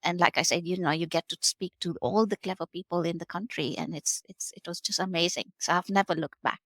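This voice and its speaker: A woman's voice: lowish voice, fairly even intonation, brisk, a bit bright, no echo, studio quality. A woman in her forties from Kenya, a guest on a podcast interview, talking in a hesitant way with many fillers and restarts. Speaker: guest, R&D tax specialist.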